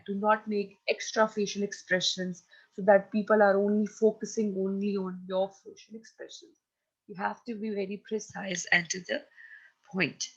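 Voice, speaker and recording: A woman's voice: mid-range voice, dull audio. A woman with a Pakistani accent teaching a recorded lesson, speaking in an instructive, emphatic way and often checking that her listeners follow. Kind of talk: recorded lecture on presentation skills.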